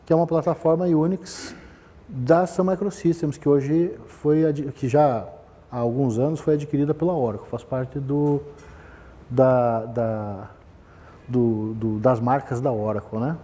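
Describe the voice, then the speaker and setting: A middle-aged man from Brazil, telling his career story in a relaxed talk, often hesitating and restarting. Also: mid-range voice, a little slow, big-room sound. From southern Brazil. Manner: rambling, many fillers and restarts. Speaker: business manager giving a talk. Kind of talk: conversational talk, personal history.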